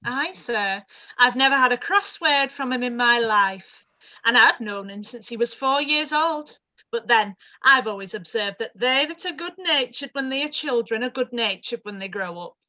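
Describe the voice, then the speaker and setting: A woman's voice: a little high, animated, normal speed, muffled recording. A woman playing a chatty old housekeeper. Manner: chatty, warm, old-fashioned servant speech. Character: elderly housekeeper, proud of her master. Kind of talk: live-read radio play.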